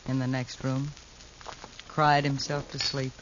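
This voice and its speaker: An actress playing a protective, world-weary dance-hall madam in a 1950s radio western, dramatic and wry. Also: female voice, rumbling low, flat delivery, unhurried. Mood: wry pleading. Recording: noisy, dull old radio recording.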